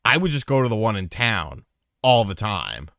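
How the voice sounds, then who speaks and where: A man's voice: on the low side, very animated, moderate pace, a bit bright, dry recording, clean studio sound. A man co-hosting a podcast aftershow, joking and swearing in rambling casual chat. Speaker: podcast co-host.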